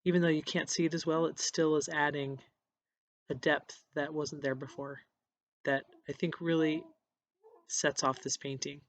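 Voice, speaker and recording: A painter with a cold, hoarse and scratchy, casually narrating a voiceover of their painting process with halting restarts. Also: mid-range voice, flat delivery, no echo. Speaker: encaustic painter. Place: voiceover recorded apart from the footage.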